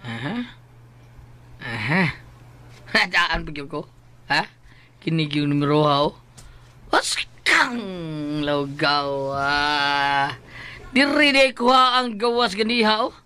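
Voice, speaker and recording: A woman playing an ageing, rascally, lottery-mad husband in a comic drama skit, excitable and wheedling, often muttering. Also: deep voice, slow, long pauses, exaggerated voice. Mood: muttering, scheming. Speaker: character in a comedy skit. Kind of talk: exaggerated comic Filipino drama acting.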